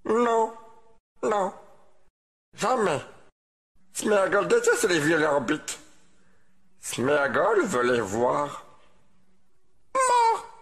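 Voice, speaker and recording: Wretched split-minded creature, highish voice, extreme pitch swings, scheming, muttering, film dub. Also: male voice, wildly swooping pitch, very slow, long pauses, fairly bright tone, a little hiss or hum, full character voice. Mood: sly, menacing. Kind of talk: dramatic film fan dub.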